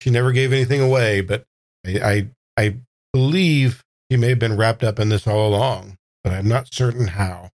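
A man playing a tabletop roleplaying game, improvising dialogue and narration in a halting, thoughtful way. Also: fairly low pitch, a little mellow, no room sound. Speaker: player in a tabletop roleplaying audio drama. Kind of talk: improvised in-character talk and casual narration.

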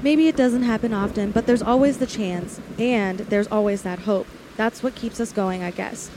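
A woman's voice: mid-range voice, moderate pace, background noise, close to an everyday voice. A woman playing a young, lonely drive-in waitress in a 1940s-style radio play, confiding and wary. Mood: wistful, confiding. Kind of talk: 1940s radio play acting, first-person narration.

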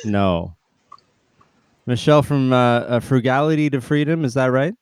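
Man, podcast host, relaxed rambling talk, smooth clear voice, dry sound. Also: mid-range voice, normal speed, no echo. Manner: relaxed, rambling, with many restarts. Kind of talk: casual conversational interview.

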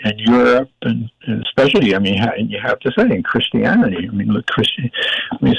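An older man with a gravelly voice, a guest in an interview, talking in a rambling, emphatic way while searching for words. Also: on the low side, dry recording. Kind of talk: casual interview talk. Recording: dull, dry audio.

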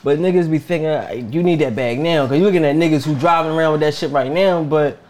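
Man in his thirties, podcast host, slightly high voice, relaxed slangy chat. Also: over a noisy crowd. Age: 30s. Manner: laid-back, slangy, asks guests quick this-or-that questions. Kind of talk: casual hip-hop podcast chat.

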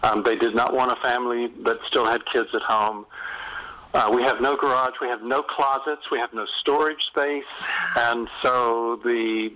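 An older American man from North Carolina telling stories as an interviewed novelist, in an animated, conversational way with emphatic repetition. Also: medium pitch, moderate pace, low-quality recording. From North Carolina, United States. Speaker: novelist being interviewed as guest.

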